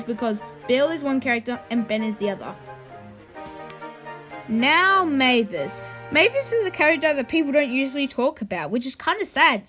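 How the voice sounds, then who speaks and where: High voice, informal video host, opinion commentary, conversational asides, background music. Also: fairly bright tone.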